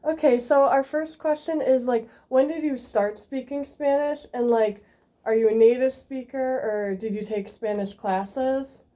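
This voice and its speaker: Mellow young woman asking hesitant questions. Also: sits high, fairly even intonation, unhurried. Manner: hesitant, filler-heavy questions. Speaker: student interviewer.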